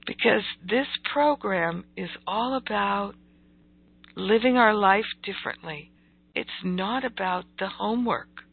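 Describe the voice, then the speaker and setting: A woman with a croaky, gravelly voice giving motivational spiritual teaching, deliberate and emphatic, repeating phrases for weight. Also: mid-range voice. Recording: thin, phone-like audio.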